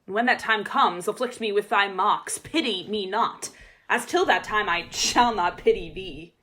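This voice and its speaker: A woman plays a proud young shepherdess in a Shakespearean radio play. The voice is bright and forceful, with haughty, theatrical phrasing. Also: mid-range voice, normal speed. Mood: scornful.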